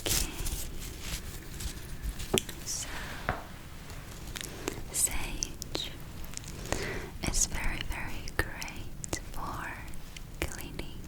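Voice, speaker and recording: Woman whispering asmr as a gentle spa witch, super slow, short halting phrases, soothing. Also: rough recording. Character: gentle caring witch running a magic spa. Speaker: ASMR performer.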